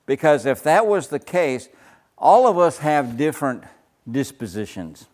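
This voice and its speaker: An older man with a naturally loud voice, leading a Bible study group discussion in a casual, talkative way. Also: fairly high pitch, unhurried. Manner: loud, gets louder when excited.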